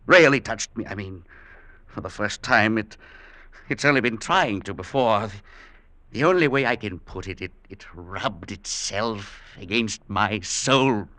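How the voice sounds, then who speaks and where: Haunted professor, stammering, distraught, bright tense voice, dead-dry studio sound. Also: male voice, lowish voice, animated, dry recording. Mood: horrified. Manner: halting, stammering restarts. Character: shaken male scholar haunted by an unseen presence. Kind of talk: 1940s radio acting.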